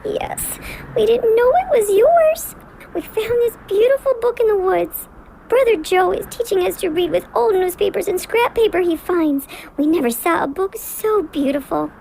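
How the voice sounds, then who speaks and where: A woman's voice: extremely high pitch, moderate pace, creaky, noisy background. A woman voicing a small, childlike, apologetic young girl squirrel in a children's radio drama. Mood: tearful, earnest. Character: tiny young girl squirrel, sorry and tearful.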